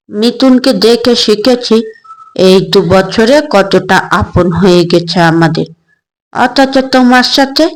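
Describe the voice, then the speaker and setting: A woman voicing an elderly, affectionate grandmother-in-law in an audio story, speaking in a measured, earnest way. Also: lowish voice, rough recording.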